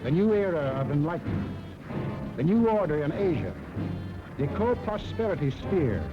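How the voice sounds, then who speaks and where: A man's voice: on the high side, very animated, moderate pace. An American man narrating a scripted 1940s propaganda film in an emphatic, dramatic way. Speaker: documentary narrator. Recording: dull 1940s film soundtrack, loud music.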